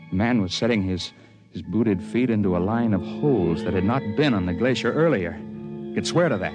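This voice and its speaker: A man's voice: low voice, rough recording. A man playing a plainspoken, seasoned mountain climber who narrates and acts in an old-time radio drama. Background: music.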